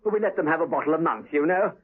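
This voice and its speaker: An actor playing a hearty, blustery military colonel in a 1970s radio drama. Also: male voice, a little high, lively intonation, rapid-fire, breathy edge. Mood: jovial. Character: jovial, exasperated male colonel guarding prisoners. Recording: thin phone-like 1970s radio recording.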